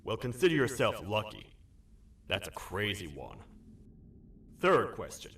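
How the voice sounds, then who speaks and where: Low, commanding cartoon lawman. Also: sing-song, unhurried, a bit bright, distant mic, slight background noise, exaggerated voice. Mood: suspicious, interrogating. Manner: commanding, clipped, interrogating. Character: gruff bossy cartoon lawman monster, stern but loyal to queen. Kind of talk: video game character dialogue.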